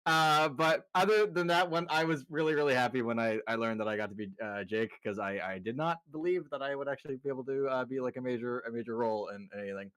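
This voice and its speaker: A man's voice: mid-range voice, fast talker, few pauses, fairly bright tone, smooth, low-quality recording. A man, a voice actor guest, telling a funny anecdote in a wry, self-deprecating way. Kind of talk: casual anecdote.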